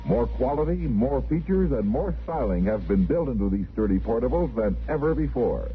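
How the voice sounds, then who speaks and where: A man's voice: medium pitch, animated, normal speed, slight background noise. A man with an American accent reading a polished 1950s radio commercial as announcer. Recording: tinny narrow-band vintage radio, faint music.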